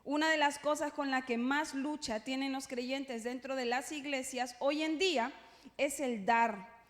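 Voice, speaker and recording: High-voiced Peruvian woman teaching in a hall. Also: steady tone, unhurried, a bit bright. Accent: Peruvian Spanish. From Peru. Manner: conversational, playful asides, frequent checking tags. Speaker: church teacher leading a class for servers. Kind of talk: informal religious teaching. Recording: echoey hall with long reverb.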